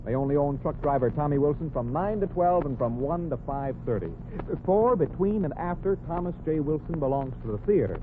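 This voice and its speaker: A man's voice: fairly high pitch, animated, fairly quick, close to an everyday voice. A man playing a cocky young truck driver. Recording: tinny, hissy old radio broadcast.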